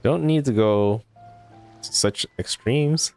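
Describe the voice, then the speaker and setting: A man's voice: medium pitch, takes it slowly, darkish tone, soft background music. A man giving casual let's play commentary as a game streamer.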